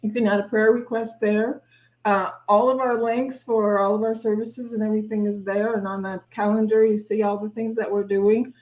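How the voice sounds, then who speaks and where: A woman's voice: medium pitch, little pitch movement, normal speed, a little mellow, rough recording. A woman host giving casual, chatty announcements for a spiritual service.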